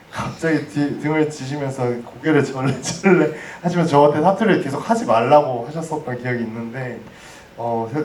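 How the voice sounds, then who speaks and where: A man's voice: medium pitch, a little mellow. A man moderating a post-screening audience talk, speaking casually and hesitantly with many restarts. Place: cinema hall. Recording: roomy, distant mic, faint noise.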